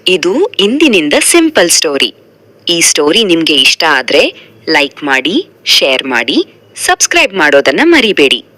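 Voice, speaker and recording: A woman's voice: low-pitched, wildly swooping pitch, a little slow, pauses often, bright, forceful tone. A woman presenter reading a scripted channel sign-off.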